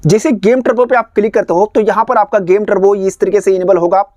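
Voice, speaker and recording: A man's voice: high voice, fairly even intonation, fast talker, mellow, no room sound. A man narrating a step-by-step phone tutorial in a repetitive, instructional way. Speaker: tech tutorial host.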